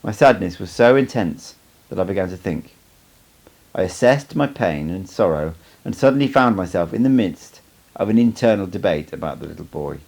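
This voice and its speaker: Deep, gravelly male audiobook narrator. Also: a little mellow. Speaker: amateur audiobook reader. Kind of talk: scripted audiobook narration, first-person memoir.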